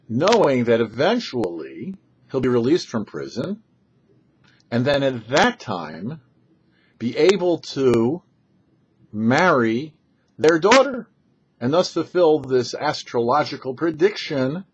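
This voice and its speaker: A man, a rabbi hosting a short religious commentary, telling and explaining scripture with emphatic, halting phrasing and pauses. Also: medium pitch, slight background noise. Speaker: rabbi and host of a weekly Torah talk show. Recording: dull, lo-fi sound.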